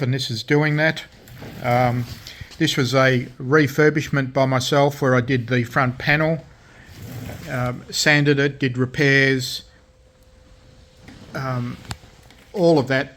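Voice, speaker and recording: A man, casually explaining his restoration of an old CB radio in a workshop, full of pauses, ums and self-corrections as he works through technical details. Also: mid-range voice. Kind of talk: casual workshop show-and-tell.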